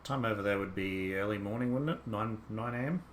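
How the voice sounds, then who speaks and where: A man's voice: fairly low pitch, fairly quick, roomy sound, a little hiss or hum. A young Australian man with an Australian accent, rambling easily through a game stream while chatting with viewers. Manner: rambling, easygoing, talks to himself about the game. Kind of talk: casual gameplay commentary chatting with live viewers.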